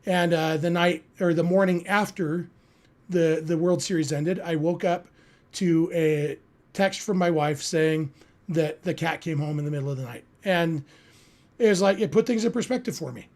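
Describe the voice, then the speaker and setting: Sports podcast host: American man, notably high-pitched voice, earnest, reflective, conversational live delivery. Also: moderate pace, darkish tone. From California, United States. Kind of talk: casual live sports talk, answering listener chat.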